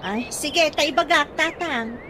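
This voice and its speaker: A sensible grown Ilocano daughter in a radio comedy drama. She has a high voice and a respectful but admonishing way, heard over noticeable noise and bird calls. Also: slow. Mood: dutiful. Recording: noisy track with chirping birds.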